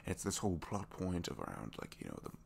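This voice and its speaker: A man playing a captured supervillain in an intimate ASMR roleplay, a gentle, bookish dreamer who speaks softly and hesitantly, often sinking toward a whisper. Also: deep voice, wildly swooping pitch, quick pace, gravelly, slight background noise, heavily acted. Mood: geeky, wistful. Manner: hesitant, soft-spoken, rambling, often dropping to whispers.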